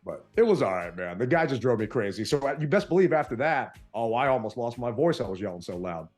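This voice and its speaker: A man co-hosting a podcast, telling an animated story and acting out his side of an argument in casual chat. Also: medium pitch, fast, faint music behind. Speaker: podcast co-host.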